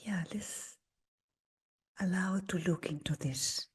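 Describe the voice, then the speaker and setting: A woman guides a meditation in a very breathy, husky voice that sits close to a whisper. Her pitch is a little low for a woman. She speaks very slowly and gently, leaving long pauses between short phrases. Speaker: meditation teacher leading a guided meditation. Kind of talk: slow guided meditation talk.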